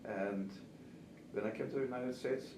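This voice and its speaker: Distant echoey recording with clear noise, older man, Austrian accent, native German speaker, conversational, reminiscing. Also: medium pitch, moderate pace. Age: 70s. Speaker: pastry chef and cake instructor. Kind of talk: conversational interview answers, reminiscing. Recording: distant, roomy, noisy recording.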